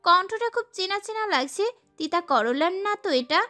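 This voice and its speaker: A young woman performs a sassy, girlish heroine, telling a romance audio story. Her voice is very high and bright, with lively swings in pitch and an expressive, feisty delivery. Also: normal speed, faint music behind, exaggerated voice. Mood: dramatic, musing. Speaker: narrator and lead actress. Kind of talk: dramatised first-person romance story narration.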